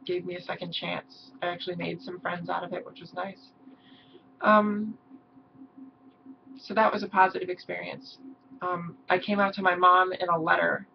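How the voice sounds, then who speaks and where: A hoarse young woman talking haltingly in a personal vlog, with many pauses and ums. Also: fairly low pitch. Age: young adult. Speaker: vlogger. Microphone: camera mic. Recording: dull lo-fi mic with faint hiss.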